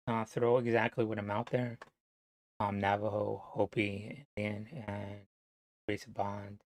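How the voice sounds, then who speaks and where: A man from New Jersey talking to camera in a rambling, casual monologue, halting and full of fillers, swearing now and then. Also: fairly low pitch, dry recording, rough recording. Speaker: vlogger.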